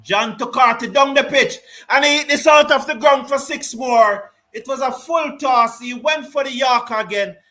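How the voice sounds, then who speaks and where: A man giving live ball-by-ball cricket commentary and reading out viewer comments, excitable on big hits. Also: very high-pitched, moderate pace, few pauses. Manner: lively, rises excitedly on big shots, Caribbean English phrasing. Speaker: cricket watch-along commentator.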